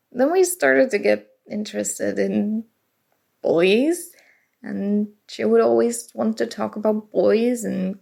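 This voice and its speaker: A woman playing a shy, awkward college-age roommate in an intimate ASMR roleplay, speaking haltingly and nervously. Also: mid-range voice, vocal fry, darkish tone, full character voice. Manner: hesitant, halting, sighing.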